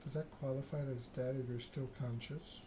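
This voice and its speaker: A man reads narrative game text aloud as ASMR. His delivery is flat and deliberate, broken into short phrases. Clear background noise and clicking sit behind the voice. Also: mid-range voice. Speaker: video game streamer reading a story game aloud.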